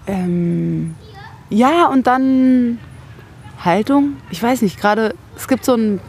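A woman's voice: a little high, lively intonation, slow pace, creaky, a little mellow, slight background noise. A woman from West Berlin speaking as an interview guest, reflective and opinionated, often searching for words in casual conversation. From West Berlin, Germany. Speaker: interview guest, singer-songwriter.

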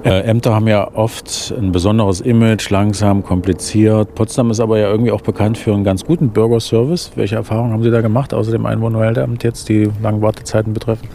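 A man hosting a radio interview show, asking questions in a brisk, conversational way. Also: on the low side, little pitch movement, warm tone, a little hiss or hum.

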